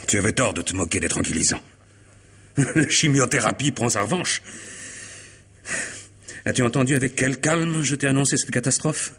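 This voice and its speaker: A man acting in a French radio mystery play as a betrayed, distraught husband, sardonic and agitated while forcing himself to stay calm. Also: medium pitch, moderate pace, long pauses, husky. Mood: bitter, agitated.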